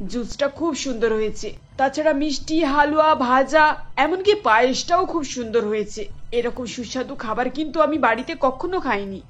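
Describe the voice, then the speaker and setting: A woman's voice: high-pitched, expressive, moderate pace. A woman voicing a gracious, effusive lady dinner guest in a cartoon, on a dull recording. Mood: delighted, impressed. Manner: effusive, complimentary. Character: gracious boss's wife, delighted dinner guest. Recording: dull lo-fi sound.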